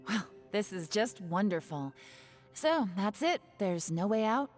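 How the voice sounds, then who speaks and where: A woman's voice: medium pitch, darkish tone. An actress playing a solemn, cool, world-weary woman investigator in an anime video game. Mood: bitter.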